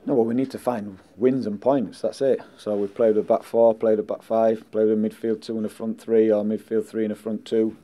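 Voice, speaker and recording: Blunt man, very dark voice. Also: lowish voice, little pitch movement. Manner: blunt, measured, repeats "listen" and "um". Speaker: football manager. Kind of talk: post-match press interview. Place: indoors in front of a sponsor board. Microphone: handheld mics held close.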